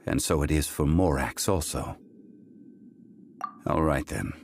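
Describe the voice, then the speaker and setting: An actor voicing an ancient, calm male god who tells old stories in a grave, formal way. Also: creaky, slight background noise, full character voice. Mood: reflective. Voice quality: deep, measured, grave. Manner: slow, formal, unhurried storytelling. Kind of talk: game voice acting.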